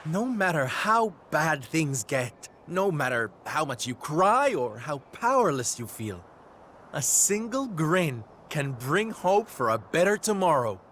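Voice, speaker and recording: A male actor playing a flamboyant, warm and peppy troupe leader in a video game, camp, theatrical and exclamatory. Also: on the high side, big pitch swings, unhurried, a little hiss or hum. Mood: rousing, grand. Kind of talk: video game cutscene voice acting. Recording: background music under the voice. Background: orchestral game music.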